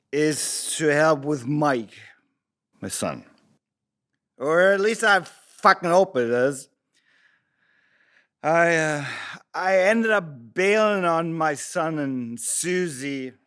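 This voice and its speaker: A man playing a crude, bitter, drunken father making excuses in a halting, rambling table-read monologue. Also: a little high, animated, a bit bright, smooth. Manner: slurred, halting drunken delivery with rambling asides.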